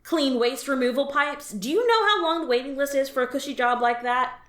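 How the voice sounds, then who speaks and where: A woman's voice: sits high, fairly quick, a bit bright. Woman voicing dry, resigned alien office veteran Bethany. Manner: dry, matter-of-fact, resigned. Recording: roomy echo.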